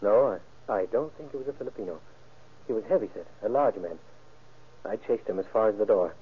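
Shaken, husky radio-drama husband. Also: male voice, on the low side. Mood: shaken, earnest. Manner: halting, earnest witness account. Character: shaken, wounded husband recounting an attack. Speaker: actor in a crime radio drama. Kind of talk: old-time radio acting. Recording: muffled, band-limited vintage radio recording.

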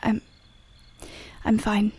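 A woman's voice: drawn-out words, very noisy. A woman playing a devoted, sad but firm young woman in a period audio play, with a subdued, earnest delivery. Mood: tender, weary.

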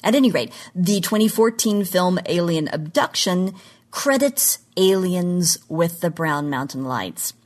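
Woman w a lowish voice doing a scripted podcast lecture, articulate, hits key phrases with emphasis. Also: lively intonation, measured pace. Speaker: presenter of a recurring genre history segment.

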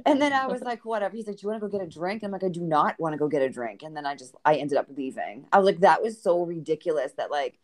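A woman's voice: lowish voice, fast talker, hardly any pauses. A woman with a Boston accent, a guest author telling dating stories in a podcast conversation and acting out the lines of the people in them. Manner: animated storyteller who acts out quoted dialogue.

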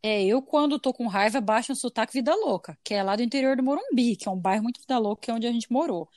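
A woman's voice: fairly high pitch, animated, quick pace, darkish tone, no room sound, clean studio sound. A Brazilian woman in her thirties with a mixed Brazilian accent, hosting a casual podcast chat with playful asides. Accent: mixed Brazilian Portuguese. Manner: chatty, laughing, playful asides. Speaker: podcast host.

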